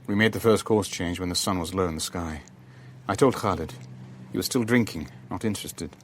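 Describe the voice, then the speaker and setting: A man acting as a cool, authoritative ship owner in a radio thriller. His voice is on the low side and measured, both in dialogue and in first-person narration, with a slight rumble of noise behind it. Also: close to an everyday voice. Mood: calm, guarded.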